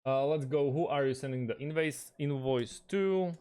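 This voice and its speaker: A man with a medium-pitched voice presents an on-screen walkthrough as a tutorial host. He goes step by step in a halting way, often hesitating in the middle of a phrase. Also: darkish tone, clear, resonant tone.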